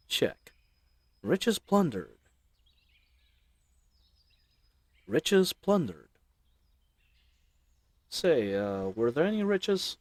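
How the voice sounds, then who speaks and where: A man's voice: on the high side, a little mellow, dry recording. A man playing a chatty, roguish bandit leader in an audio roleplay, with folksy slang and teasing mock courtesy. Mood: brisk, wry. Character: roguish, chatty, friendly male bandit leader, mockingly polite. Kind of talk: scripted audio roleplay addressed to the listener.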